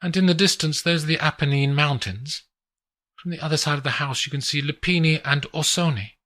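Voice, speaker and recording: A man voicing a calm, composed young woman with a faint foreign lilt, reading a thriller audiobook. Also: fairly high pitch, fairly quick, voice pushed up. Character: calm young woman, a cool former assassin. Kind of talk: audiobook character voice.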